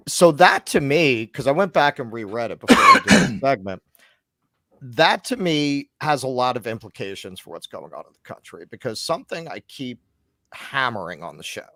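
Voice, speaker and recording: A man's voice: medium pitch, fairly bright tone, no room sound. A man hosting a political podcast, making points emphatically and repeating them for force. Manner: emphatic, argumentative. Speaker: podcast host.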